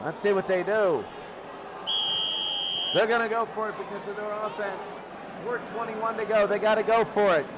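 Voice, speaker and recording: A man's voice: high-pitched, fairly even intonation, normal speed, long pauses. A man doing live play-by-play football commentary in short, clipped phrases, often repeating his calls. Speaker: play-by-play sports announcer. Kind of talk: excited live American football radio commentary. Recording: loud crowd roar and heavy noise.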